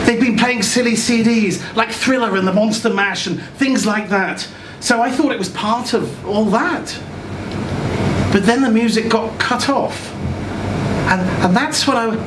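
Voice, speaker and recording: A middle-aged man reading a short story dramatically as a naive, chatty, frightened child, with hesitant, stammered repeats. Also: sits high. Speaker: reader at a live short story event. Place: small indoor event room. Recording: roomy, distant camera recording with loud noise.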